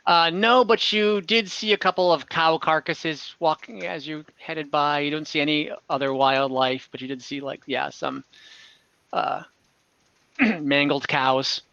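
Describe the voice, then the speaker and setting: A man acting as game master, describing scenes conversationally and haltingly, often rephrasing as he improvises. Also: fairly high pitch, steady tone, gravelly, bright, forceful tone, clear, resonant tone, dry recording, dull audio. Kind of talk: improvised narration of an online tabletop game.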